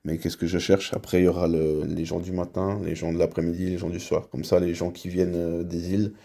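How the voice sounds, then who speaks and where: Sex: man. Origin: Dominican Republic. Role: hospital head interviewing. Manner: slow, measured questions. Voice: very low, gravelly, flat and dark. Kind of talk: in-character job interview.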